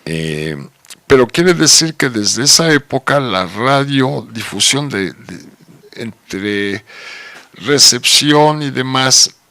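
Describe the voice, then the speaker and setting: A man's voice: mid-range voice, expressive, slow, bright, forceful tone, no echo. A middle-aged man with a Mexican accent telling anecdotes in a casual radio chat, with colloquial asides. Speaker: radio guest.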